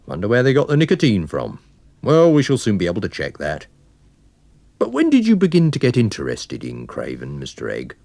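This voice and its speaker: A man's voice: on the low side, huge pitch swings, creaky, darkish tone, no room sound, heavily acted. A man voicing a wary, methodical police inspector with a plain, official manner in a dramatised story reading. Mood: satisfied. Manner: official, plain-spoken, deliberate.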